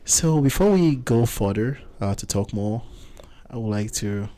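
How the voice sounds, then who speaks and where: A man's voice: on the low side, expressive, unhurried, mellow. A man hosting a podcast interview in a studio, chatty and teasing, often repeating himself. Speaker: podcast host.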